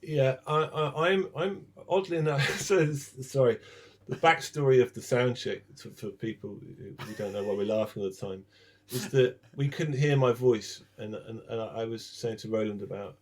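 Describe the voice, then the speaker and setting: A man, a guest songwriter, talking casually with stumbling starts and quick bursts. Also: medium pitch. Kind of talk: casual interview chat.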